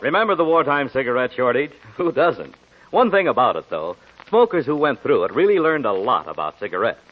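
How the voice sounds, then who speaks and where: Casting: male old-time radio pitchman, middle pitch, extremely varied intonation, tinny band-limited sound. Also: big pitch swings, a little fast. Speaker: radio commercial announcer. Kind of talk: scripted 1940s radio advertising pitch.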